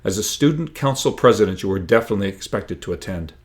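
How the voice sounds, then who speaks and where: A man's voice: fairly low pitch, lively intonation, quick pace, lightly acted. Man playing woman schoolteacher, dry, firm, patient, matter-of-fact, storybook dialogue.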